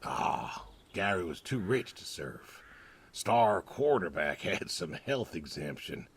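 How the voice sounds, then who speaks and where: Deep, weary old American farmer. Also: male voice, sing-song, breathy edge, heavily acted. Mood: wry, bitter. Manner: slow, halting, reflective. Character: weary older male pig farmer, war veteran, wistful, plainspoken. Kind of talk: understated naturalistic game acting.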